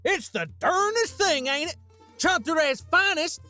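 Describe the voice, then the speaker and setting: A woman's voice: extremely high pitch, animated, measured pace, pushed and bright, dry recording, soft background music, exaggerated voice. A woman voicing a nervous, wisecracking young male deer, rambling in a folksy way in an audio story. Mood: wry. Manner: folksy, awkward, jokey rambling. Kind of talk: acted dialogue in an audio story.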